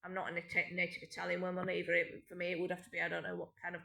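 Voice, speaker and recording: A middle-aged woman with a British accent talks on and on in a clear, bright voice, aggrieved and rambling, stopping often to restart her sentences. Her voice bounces around an echoey room at home. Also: on the low side, monotone, racing. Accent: British English. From Britain. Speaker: vlogger. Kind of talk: unscripted personal monologue. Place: home indoors.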